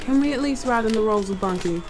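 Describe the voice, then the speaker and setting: A woman's voice: a little high, expressive, quick pace, music in the background, natural voice. An actress playing a respectful but dryly skeptical female assistant in a 1920s audio drama. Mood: dry protest. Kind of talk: period audio drama acting.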